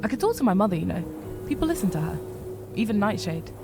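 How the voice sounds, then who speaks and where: A woman voicing a plain-spoken young rabbit heroine in a fantasy visual novel, matter-of-fact and gently dry. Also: medium pitch, big pitch swings, fast, creaky. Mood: concerned, frank. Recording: music behind the voice.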